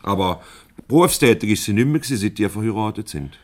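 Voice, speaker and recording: A man acting a shrewd boss who plays mediator in a 1970s Swiss German radio comedy. His voice sits low. He probes and teases with wry, avuncular ease. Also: lively intonation, fast talker, a little mellow, natural voice. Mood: probing. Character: shrewd, teasing boss mediating a couple's quarrel.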